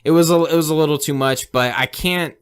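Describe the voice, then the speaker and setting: A man co-hosting a casual podcast film review, talking in halting restarts and swearing casually. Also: fairly high pitch, clean tone. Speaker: podcast co-host.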